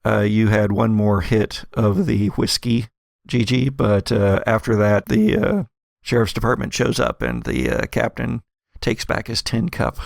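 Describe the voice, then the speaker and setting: A man improvising narration as game master of an actual-play audio drama, halting and correcting himself as he goes. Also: lowish voice, fairly even intonation, creaky, no room sound, clean studio sound.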